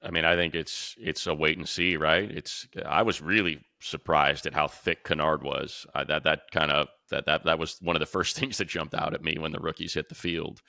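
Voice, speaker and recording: A man's voice: a bass voice, fairly even intonation, moderate pace, creaky, few pauses, bright, forceful tone, no room sound, clean studio sound. A man giving informal sports commentary in a panel conversation, often posing rhetorical questions as he goes.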